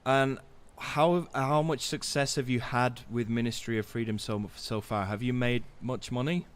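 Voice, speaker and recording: A man's voice: mid-range voice, fairly even intonation, normal speed, clear, resonant tone. A man hosting testimonial calls in a casual, upbeat way, laughing often and saying his voice is going. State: losing his voice. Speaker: course host. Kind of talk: casual video call interviews.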